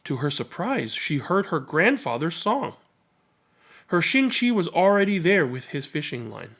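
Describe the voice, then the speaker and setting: A man's voice: on the high side, very animated, measured pace, a bit bright. A man who reads children's stories aloud and talks casually as host. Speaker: storybook reader and channel host. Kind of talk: children's read-aloud narration with casual commentary.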